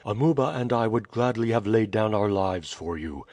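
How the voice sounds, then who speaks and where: A man doing a calm loyal guardian. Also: lowish voice, no room sound, lightly acted. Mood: steady. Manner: calm, measured. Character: prudent, loyal grown male servant and guardian.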